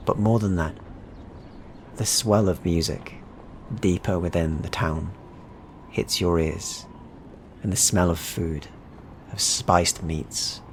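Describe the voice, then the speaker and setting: Deep-voiced man narrating a fantasy game. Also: slight background noise. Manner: descriptive, evocative storytelling. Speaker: game master.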